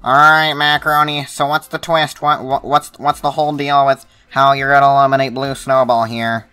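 A man voicing a bombastic, irritable contest announcer in an amateur animated web show, declaiming and bickering. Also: medium pitch, moderate pace, clean tone, soft background music, lightly acted. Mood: irritated.

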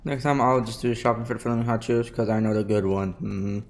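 A man's voice: mid-range voice, fairly even intonation, fast, a little mellow. A young man streaming a game from a room at home, giving casual, rambling, goofy commentary on a headset. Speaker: gaming streamer. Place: small indoor room at home. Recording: faint noise with game sound.

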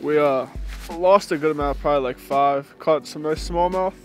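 A man's voice: a little high, normal speed, soft background music. A young man talking in a loose, slangy way while filming a fishing trip on an action camera outdoors. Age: young adult. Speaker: fishing vlogger. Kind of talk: casual vlog chat. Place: outdoors by a lake.

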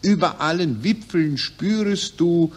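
An actor playing a proud, self-pleased amateur poet with an Austrian accent in a 1940s radio satire. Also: male voice, fairly high pitch, slow pace, darkish tone. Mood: proud, eager.

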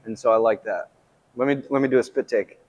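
A man's voice: medium pitch, a little fast, a little mellow. A man tasting beers in a brewery taproom, giving casual, playful, rambling comments into a lapel mic. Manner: chatty, playful, hedging. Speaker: coworker taste tester.

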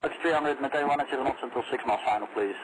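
A man's voice: mid-range voice, very fast. A man working as an air traffic controller, giving clipped, businesslike procedural instructions over the radio. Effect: radio transmission.

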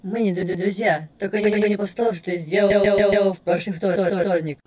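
Man doing a high, stuttering skeleton puppet. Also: steady tone, a little fast, darkish tone. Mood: resentful. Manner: stuttering, repeating words. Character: small resentful skeleton puppet, once a friend. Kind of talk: amateur comic dub.

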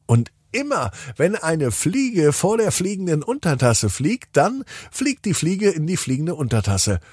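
A man narrating a bedtime story for children in an expressive, playful way, drawing out words and pausing for effect. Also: fairly high pitch, husky, no room sound, clean studio sound. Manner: deliberate, playful, with drawn-out words and dramatic pauses.

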